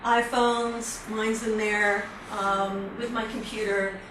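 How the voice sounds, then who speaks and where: A woman's voice: mid-range voice, level tone, slow pace. Middle-aged American woman professor, chatty lecture style, mic distant in a very echoey, noisy hall. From United States. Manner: chatty, self-correcting, teasing asides. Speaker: professor giving a guest lecture. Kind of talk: casual lecture to students.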